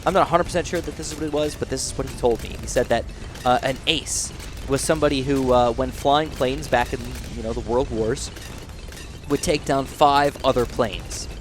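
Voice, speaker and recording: A young man with a nasal, youthful-sounding voice thinks out loud as he plays, rambling and then bursting into excited exclamations. His pitch sits in an average range. A faint hiss of noise runs under the recording. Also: normal speed. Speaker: gaming channel host. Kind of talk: casual gameplay commentary.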